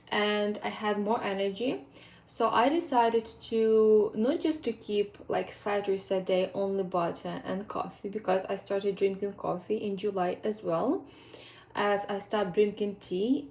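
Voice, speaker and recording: A woman's voice: medium pitch, a little mellow. A young woman with a Ukrainian accent, a fitness coach chatting to her viewers in an earnest, rambling way with plenty of ums. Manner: earnest, rambling, with frequent ums and non-native phrasing. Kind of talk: casual vlog monologue.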